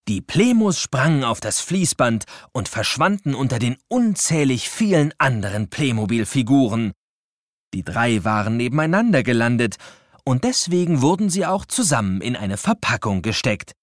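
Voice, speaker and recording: Male narrator for kids' audio play: playful, dramatic, very animated, mid pitch, dry close sound. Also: moderate pace, no echo. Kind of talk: scripted children's story narration.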